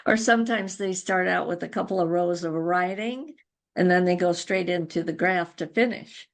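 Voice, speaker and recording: Older female interview guest, lower-pitched and creaky, reminiscing in a casual conversational way. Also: moderate pace. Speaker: interview guest, crochet guild founder.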